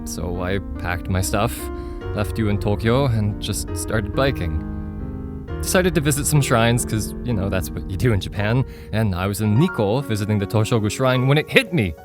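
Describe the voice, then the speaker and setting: A man acting an excitable, forgetful young man who tells his story in an earnest, conversational way for an audio drama. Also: on the low side, moderate pace, music in the background, close to an everyday voice. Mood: earnest, puzzled.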